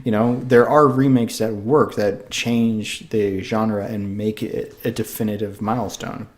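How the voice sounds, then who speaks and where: A man's voice: on the low side, fairly even intonation, unhurried. A man co-hosting a casual podcast, easygoing and a little hesitant, leaning on fillers like "I mean" and "like." He laughs readily between his points. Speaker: podcast co-host.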